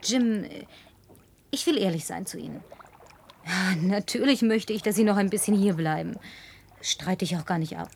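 A woman's voice: mid-range voice, normal speed, gravelly, pauses often, darkish tone, a little hiss or hum, close to an everyday voice. An actress dubbing a spoiled, lonely young city woman who talks in a playful, coaxing, teasing way. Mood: pleading. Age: young adult. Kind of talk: German film dub.

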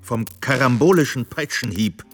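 An actor reciting surreal poetic fragments in an artful radio play, with a creaky voice. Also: male voice, moderate pace, close to an everyday voice. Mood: intense, poetic. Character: man reciting surreal poetic fragments about painting and desire. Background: prominent cello and double bass music.

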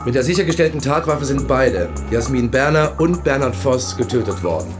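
An actor playing a dogged, sceptical police investigator in a radio play, brisk and to the point. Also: male voice, mid-range voice, expressive, natural voice. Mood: businesslike. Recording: music playing behind the voice.

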